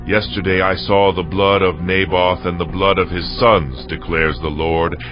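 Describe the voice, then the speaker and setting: An actor playing a fierce, commanding ancient warrior king with a stern, declamatory delivery in a dramatized scripture audio drama. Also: low voice. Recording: dull muffled audio under music.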